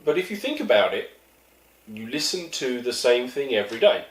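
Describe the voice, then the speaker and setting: A man's voice: medium pitch, very animated, normal speed. Wry East London man, roomy sound. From East London, England. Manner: wry, self-deprecating, with quoted voices. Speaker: vlogger telling stories. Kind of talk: casual storytelling monologue. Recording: echoey room, mic at a distance.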